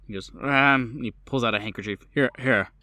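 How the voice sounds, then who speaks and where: Male game master, mid-pitched, improvising narration conversationally, very dry recording. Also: a little fast, pushed and bright, no room sound. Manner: informal, conversational.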